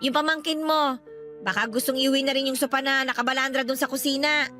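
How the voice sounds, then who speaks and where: A woman's voice: sits high, steady tone, a little fast, sharp tone, faint music behind. A woman playing a resentful older mother in a Tagalog radio drama, talking in a sarcastic, needling way. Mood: sarcastic, annoyed.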